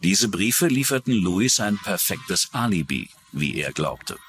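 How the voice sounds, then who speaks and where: Guy narrating true crime, lowish voice, really moves up and down, faint music under it. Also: very animated, unhurried, fairly bright tone. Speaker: documentary narrator.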